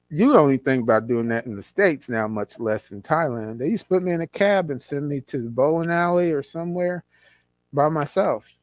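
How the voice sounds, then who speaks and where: A man's voice: mid-range voice, darkish tone, dry recording. A man in his sixties, from California, reminiscing as an interview guest in a rambling way, with many restarts. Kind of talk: casual conversation.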